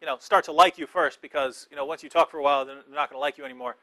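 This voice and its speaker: Crisp dry-voiced joking male host. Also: fairly high pitch, fairly even intonation, pushed and bright, no echo. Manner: joking, halting, restarts often. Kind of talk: talk show interview.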